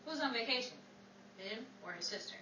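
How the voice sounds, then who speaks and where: Female performer as ditzy, flustered sidekick in 1940s radio comedy; very high, animated, distant under loud noise. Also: brisk, lightly acted. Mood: naive, puzzled. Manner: flustered, stammering, theatrical. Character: scatterbrained, excitable 1940s wife, the actress's naive friend. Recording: roomy, distant, very noisy, loud crowd behind.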